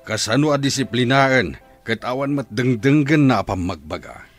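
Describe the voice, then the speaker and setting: A man's voice: medium pitch, expressive, normal speed, faint music behind, lightly acted. A man playing a stern, exasperated middle-aged father in a Filipino radio drama.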